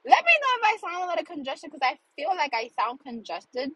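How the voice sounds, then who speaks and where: Bright, earnest woman in her thirties. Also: high-pitched, big pitch swings, a little fast. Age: 30s. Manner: earnest, emphatic, repeats phrases for emphasis. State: congested. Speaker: podcast host. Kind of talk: casual monologue podcast.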